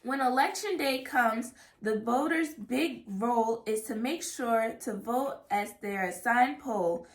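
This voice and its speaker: A woman reading a rhyming children's picture book aloud in a measured, careful way. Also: fairly high pitch. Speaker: read-aloud reader. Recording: echoey room.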